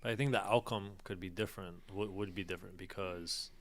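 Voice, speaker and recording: A man's voice: deep voice, fairly even intonation, fairly quick. A young man hosting a podcast, asking curious questions and thinking aloud on a stand mic in an office room. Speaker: podcast host. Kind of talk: casual conversation. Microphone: studio mic on a stand.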